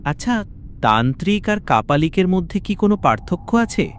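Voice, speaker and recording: A man's voice: high voice, very animated, moderate pace, a little hiss or hum, pitched above the performer's own voice. A man playing an eager male friend in a cartoon story, emphatic and conversational. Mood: curious. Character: eager, mildly indignant male visiting friend, Kishori.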